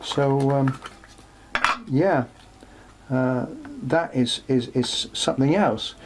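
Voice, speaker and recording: A man presenting an unscripted show-and-tell in a workshop, speaking haltingly as he thinks aloud. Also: mid-range voice. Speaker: presenter showing vintage electronics for restoration. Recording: clearly noisy, with background hum.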